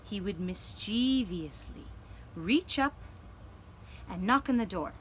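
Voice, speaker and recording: A woman telling a gentle children's story for a puppet show, with deliberate, dramatic phrasing and pauses. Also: medium pitch, animated, a little hiss or hum.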